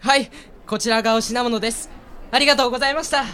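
A man's voice: very high-pitched, steady tone, very fast, music in the background. A man playing a harried, grumbling young cake seller, the straight man of a radio drama. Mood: polite, selling. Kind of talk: amateur Japanese radio drama acting.

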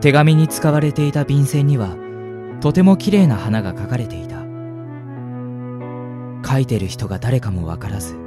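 A man playing a gentle, wistful young university student who narrates a radio drama in an earnest, reflective way. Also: mid-range voice, normal speed, pauses often, over music, close to an everyday voice. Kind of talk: radio drama acting with first-person narration.

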